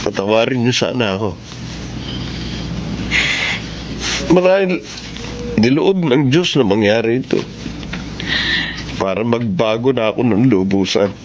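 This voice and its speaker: An actor playing a hard-drinking married older brother in a Tagalog radio drama. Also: male voice, mid-range voice, moderate pace, long pauses, muffled recording, background noise, close to an everyday voice. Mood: weak, remorseful.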